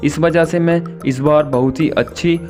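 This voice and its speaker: A man voicing a good-natured, grateful big-brother bird, earnest and exclamatory, in an animated children's story. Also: a little high, normal speed, soft background music, natural voice. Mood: pleased.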